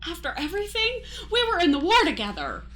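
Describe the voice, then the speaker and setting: A woman's voice: very high-pitched, wildly swooping pitch, fast talker, a bit bright, slight background noise, exaggerated voice. A woman playing a bewildered, average newcomer who asks hesitant, flustered questions in an improvised comic radio play. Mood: shocked. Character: bewildered, average, well-meaning newcomer Fred.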